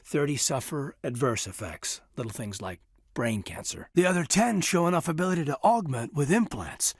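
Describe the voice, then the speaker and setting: An actor playing an earnest, guarded military officer in a video game, with a husky voice and a respectful, formal manner. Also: male voice, fairly high pitch, big pitch swings, normal speed, dry recording, studio quality, full character voice. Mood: explaining, serious. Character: earnest, guarded young soldier with biotic powers, a loyal officer.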